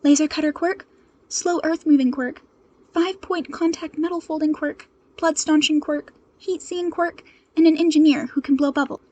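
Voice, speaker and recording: A woman's voice: sits high, steady tone, normal speed, dark tone, pitched above the performer's own voice, lightly acted. A woman voicing an urgent young female superhero in a fan audio story, panicked but determined, with breathless field reports. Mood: tense.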